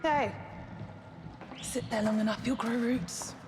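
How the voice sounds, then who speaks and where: An actress playing a casual, teasing spaceship pilot in a game, informal and wry. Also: female voice, fairly high pitch, takes it slowly. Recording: music clearly behind.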